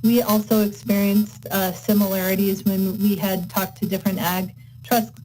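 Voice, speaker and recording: A woman offers formal comment on a meeting call in a flat, even intonation. She is measured with hesitant pauses, and faint music sits behind her. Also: mid-range voice, low-quality recording. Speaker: public commenter. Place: remote video meeting call.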